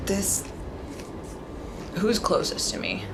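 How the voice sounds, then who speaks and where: A woman's voice: medium pitch, very animated, slow, vocal fry, background noise. A woman playing a tabletop role-playing game, improvising casually in and out of character. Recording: loud music score behind voice. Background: loud music score.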